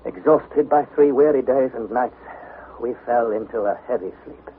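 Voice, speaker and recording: A man's voice: medium pitch, moderate pace, phone-quality sound, close to an everyday voice. An actor playing an anxious young explorer in a vintage radio adventure, narrating his diary with theatrical intensity. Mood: weary, reflective. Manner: theatrical, intense, breathless delivery. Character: young male explorer, dramatic, anxious diarist. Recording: noisy, phone-thin vintage radio recording.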